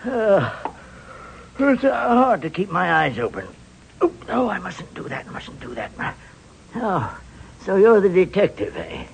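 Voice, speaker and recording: A man's voice: sits high, huge pitch swings, measured pace, vocal fry, pauses often, husky, heavily acted. An actor playing a frail, boastful, wicked old man, rambling and gloating in a wheezy voice in a 1940s radio drama. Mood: cranky, drowsy. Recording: old, faintly noisy radio recording.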